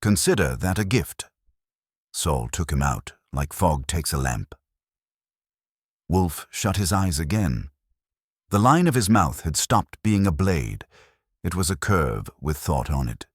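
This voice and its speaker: Male audiobook narrator for detective fiction: very low pitch, expressive melody, dry close recording. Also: slow, pauses often, breathy edge, no room sound. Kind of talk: scripted first-person detective story narration.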